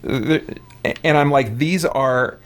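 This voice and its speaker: A man's voice: mid-range voice, slow pace. A man giving casual, rambling gameplay commentary as a streamer, thinking aloud and explaining himself to viewers. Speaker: video game streamer.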